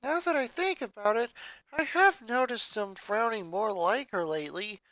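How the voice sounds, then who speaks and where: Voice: mid-range voice, animated, moderate pace, a bit bright, no room sound, pitched above the performer's own voice, natural voice, low-quality recording. A reader voicing a sixteen-year-old airheaded girl who talks enthusiastically and says "like" often. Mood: enthusiastic. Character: bubbly, airheaded teen girl, eldest sister.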